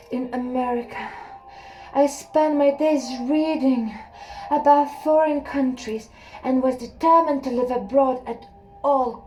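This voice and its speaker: Slow, soft-spoken high-voiced woman. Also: poor audio. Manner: soft and unhurried, dropping to a near whisper at times. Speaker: audiobook reader. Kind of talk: slow first-person story reading.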